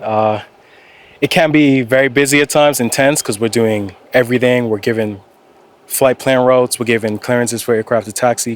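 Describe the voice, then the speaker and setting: Young male interviewee, mid-range voice, light ambient noise behind. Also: mid-range voice. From Bermuda. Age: young adult. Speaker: air traffic controller interviewee. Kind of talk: informal interview answers.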